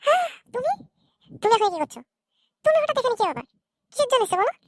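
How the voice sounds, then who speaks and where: A woman's voice: extremely high pitch, big pitch swings, very fast, dry recording, clean studio sound. A woman voicing a fickle, money-minded young girlfriend in a comedy cartoon, dismissive and snappish. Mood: startled, defensive.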